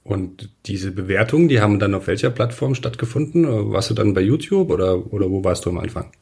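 A man hosting a podcast, introducing his guest and asking friendly, relaxed questions. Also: on the low side, brisk, vocal fry, breathy edge. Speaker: podcast host, newspaper editor-in-chief. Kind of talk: podcast interview.

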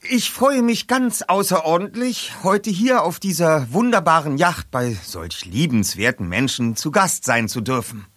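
An actor playing a clever, polite young detective posing as a dignitary in a German audio drama, speaking formally and eloquently. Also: male voice, a little high, expressive, a little slow, hardly any pauses, fairly bright tone, dry recording, clean studio sound. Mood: ceremonious.

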